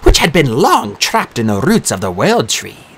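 A man voicing a trio of cold, ancient Valkyrie warrior women in an audiobook, slow and threatening. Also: medium pitch, wildly swooping pitch, a bit bright, breathy edge, pitched above the performer's own voice, full character voice. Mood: menacing. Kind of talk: audiobook character voice.

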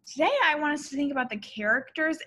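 Casting: female teacher, thirties, high bright voice, expressive picture-book read-aloud for little kids. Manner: expressive, deliberate, explaining to young children. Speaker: elementary teacher reading aloud. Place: school classroom, indoors.

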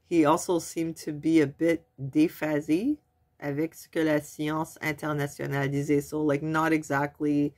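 A woman's voice: low voice, little pitch movement, moderate pace, a little mellow. Stuffed-up woman chatting at her webcam. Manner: chatty, translating French articles on the fly. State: stuffy nose from a cold. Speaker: vlogger. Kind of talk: casual commentary reading news aloud. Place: small room at home, indoors. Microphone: webcam at desk.

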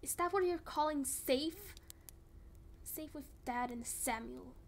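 Woman as timid, high-voiced hurt young daughter. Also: takes it slowly, slight background noise. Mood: hurt, accusing. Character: frightened, hurt young daughter, pleading and wary. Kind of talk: amateur Minecraft roleplay voice acting.

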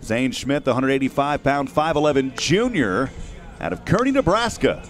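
A man in his thirties calling a baseball game live in short, measured phrases, speaking into a headset mic outdoors. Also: mid-range voice, sing-song, faint music behind. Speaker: radio play-by-play baseball announcer. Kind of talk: live sports commentary. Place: outdoors at a windy ballpark. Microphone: headset boom mic.

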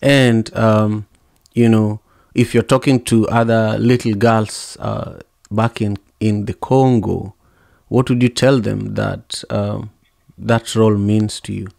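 A middle-aged man hosts a podcast from his home studio into a large studio mic, asking halting, encouraging questions. His low voice has a gravelly edge, and the sound is dry and close with no room echo. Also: flat delivery, a little mellow. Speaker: podcast host. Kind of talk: interview. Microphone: large studio mic with headphones.

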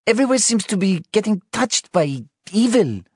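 Male narrator voicing upset young wife; raised pitch, pleading, soft mellow tone, dry read. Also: moderate pace, no room sound, close to an everyday voice. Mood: frightened. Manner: pleading, emotional delivery. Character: anxious tearful wife, adult woman.

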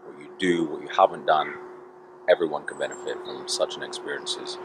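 A man in his thirties speaks calmly and hesitantly in a very deep voice, standing outdoors among trees. There is faint outdoor ambience, with passing traffic in the distance. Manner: calm, slow, hesitant with many ums. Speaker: retreat participant. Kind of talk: short testimonial. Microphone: camera at close range.

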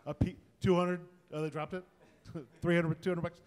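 Higher-voiced man in a reverberant room. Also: flat delivery, moderate pace, a little mellow. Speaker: panelist, tech content creator. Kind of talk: casual panel talk. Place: indoor event space before a small audience. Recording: reverberant room, panel event.

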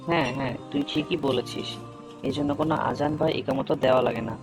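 An earnest young male student from a cartoon, acted by a man, speaks. He explains things to his friend in a friendly, scripted way at a middling pitch. Music plays clearly behind him. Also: steady tone, brisk, close to an everyday voice. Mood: earnest, instructive.

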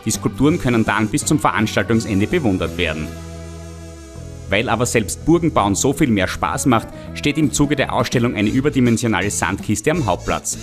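A man's voice: lowish voice, animated, moderate pace, music in the background. A man who narrates a regional TV magazine and asks passers-by questions. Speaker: reporter and narrator. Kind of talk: scripted magazine narration and street interviews.

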